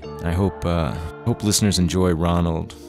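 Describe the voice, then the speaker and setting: A man's voice: low-pitched, fairly even intonation, normal speed, music in the background. A man, the writer of the show, reading credits and a scripted outro for an audio drama podcast.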